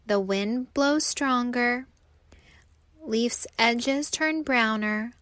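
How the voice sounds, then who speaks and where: A woman narrating a children's storybook aloud with expressive, deliberate phrasing. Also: on the high side, no room sound.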